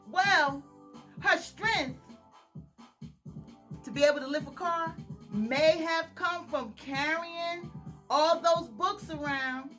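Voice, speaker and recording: A woman's voice: extremely high pitch, a bit bright. A woman hosting a children's storytime, reading aloud in an exaggerated, singsong way and putting questions to her young listeners. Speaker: children's storytime host, author.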